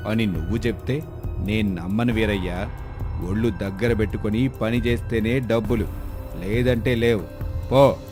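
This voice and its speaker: Stingy, arrogant landlord for cartoon folktale, played by a man; low pitched, berating, music underneath. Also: steady tone, moderate pace, natural voice. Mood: stern, threatening. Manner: haughty, berating. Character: arrogant, stingy, scolding rich male landlord. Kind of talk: moral story cartoon voice acting.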